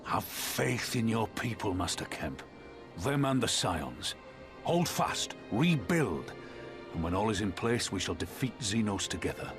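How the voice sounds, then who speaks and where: A battle-hardened general, rallies his comrades in a low voice that rises and falls with conviction. Orchestral music fills the space behind him. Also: very animated. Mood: reassuring. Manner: firm, rallying, measured. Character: battle-hardened male general, steady and commanding. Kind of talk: game cutscene voice acting. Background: orchestral game score.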